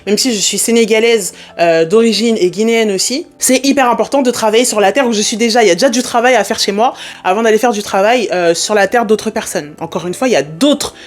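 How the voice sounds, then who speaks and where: A young woman from France talking casually to camera in a lively, animated way, with English words mixed in. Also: a little high, fast, hardly any pauses. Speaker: spiritual content creator.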